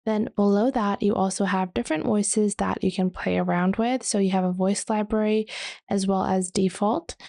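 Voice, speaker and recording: A woman narrates a step-by-step software tutorial in a relaxed, mellow voice. Her speech often settles into a gravelly creak. The sound is very dry, with hardly any room around it. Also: mid-range voice, normal speed, no room sound. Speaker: tutorial presenter.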